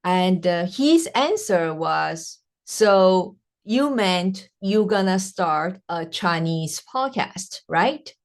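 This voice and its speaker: A woman from Taiwan, a native Mandarin speaker with a Taiwanese Mandarin accent, hosting her podcast and telling her own story earnestly, with frequent "uh" pauses. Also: fairly low pitch, animated. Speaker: podcast host. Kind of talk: personal storytelling monologue.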